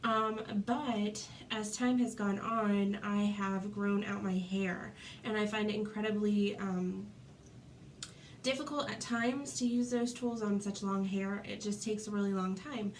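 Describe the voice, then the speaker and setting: A woman's voice: medium pitch, steady tone, measured pace, slight background noise. A woman with a stuffy cold, chatting casually through a hair tutorial at home and saying "um" a lot. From Florida. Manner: chatty, many ums, demonstrating while talking. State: congested with a cold.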